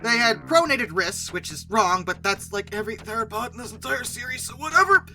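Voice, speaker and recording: A video narrator with a bright, forward voice that swings widely in pitch. The delivery is casual commentary, conversational and wry, full of playful emphasis and asides. Music plays behind the voice. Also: animated, fairly quick. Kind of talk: casual scripted commentary rating film dinosaurs. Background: background music.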